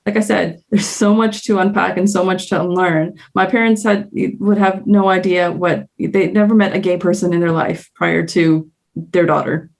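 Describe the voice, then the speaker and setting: A woman's voice: lowish voice, steady tone, normal speed, vocal fry. A woman with a Canadian accent, speaking as a guest on a panel. She talks in an earnest, reflective way and thinks aloud as she goes. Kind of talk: candid panel discussion.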